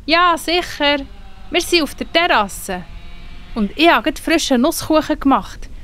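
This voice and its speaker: A woman's voice: high-pitched, lively intonation, a little slow, fairly bright tone. A woman with a Swiss German accent playing a friendly, motherly fox in a children's audio play. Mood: cheerful, calling.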